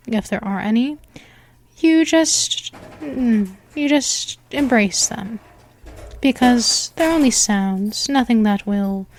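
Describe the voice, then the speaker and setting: A woman playing a seductive vampire mistress in a hypnosis roleplay, speaking softly and slipping into whispers. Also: on the high side, dry recording. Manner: slow, soft, hypnotic, with whispered stretches.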